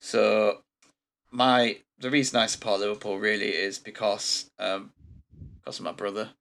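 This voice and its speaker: A man leads a laid-back podcast conversation. His voice sits on the low side, and his rambling delivery is dotted with ums. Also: normal speed, vocal fry, slight background noise. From England. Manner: relaxed and rambling, with frequent ums. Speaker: podcast host. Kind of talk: casual podcast chat.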